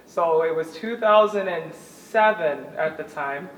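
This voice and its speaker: A woman in her thirties from New York, telling her life story in an earnest, animated way as an interview guest. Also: low voice, a little slow, a little hiss or hum. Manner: animated, laughs easily, earnest. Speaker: guest, former Olympic shot putter. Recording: roomy, distant mic, faint ambience.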